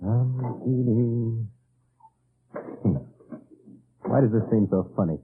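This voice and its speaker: An actor playing a weary, desperate Allied agent in an old-time radio drama, narrating in a tense, confessional manner. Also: male voice, mid-range voice, fairly even intonation, slow pace, noisy background. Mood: weary, reflective. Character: weary, desperate male Allied agent behind enemy lines. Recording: tinny old radio recording, background music.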